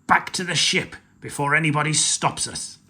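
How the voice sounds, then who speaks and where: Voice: medium pitch, normal speed, slight background noise, voice pushed up. A storyteller voicing a bossy male pirate chief in a children's storybook, who barks out orders. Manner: loud, commanding calls. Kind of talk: storybook read-aloud.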